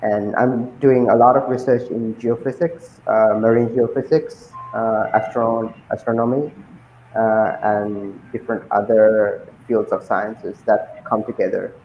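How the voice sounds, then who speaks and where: A young man from Sri Lanka answering interview questions as a guest, pausing often with ums and uhs. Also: on the low side, fairly even intonation, reverberant, muffled recording, slight background noise. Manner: hesitant, many ums and uhs.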